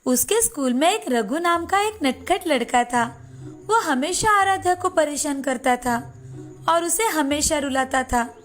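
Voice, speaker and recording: A woman narrating a children's moral story in a steady, expressive scripted reading. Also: sits high, measured pace, a little hiss or hum.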